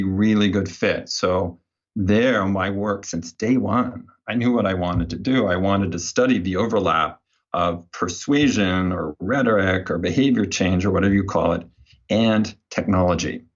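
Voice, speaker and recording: A man's voice: low voice, highs cut off. A man speaking as an interview guest, telling his story in a casual, anecdotal way. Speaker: guest, behavior design researcher.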